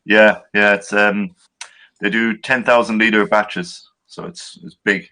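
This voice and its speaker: A man's voice: fairly low pitch, steady tone, unhurried, sharp tone. A man, a guest brewer, chatting in an easygoing way on a video call. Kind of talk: casual video call chat.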